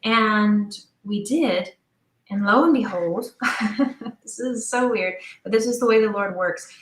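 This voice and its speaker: A middle-aged woman chatting casually as an interview guest, telling stories in an animated way and acting out bits of quoted dialogue. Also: medium pitch, slow pace. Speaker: interview guest, audio drama creator. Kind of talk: casual conversational storytelling. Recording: roomy, echoing sound.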